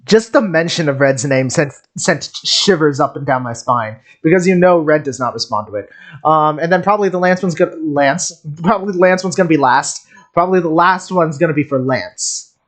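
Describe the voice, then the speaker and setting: Excitable, rambling male game streamer. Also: a little high, fairly quick. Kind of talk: casual live game commentary.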